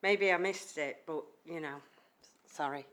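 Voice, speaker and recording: A woman committee member with a loud voice, asking questions in an apologetic manner. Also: low voice, unhurried.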